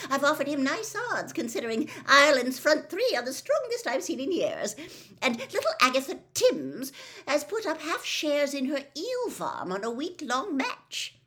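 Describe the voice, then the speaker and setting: A woman's voice: high-pitched, bright, forceful tone, pitched above the performer's own voice, exaggerated voice. A woman voicing a jovial, boyish wizard official, a man who is eager and breezy, in an audiobook. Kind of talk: audiobook character voice.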